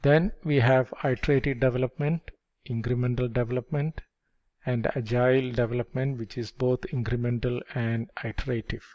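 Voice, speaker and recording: An older man explaining project life cycles step by step, in a measured lecture delivery on a headset microphone. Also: mid-range voice, steady tone, highs cut off. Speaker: project management instructor. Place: dim indoor room. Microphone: headset mic.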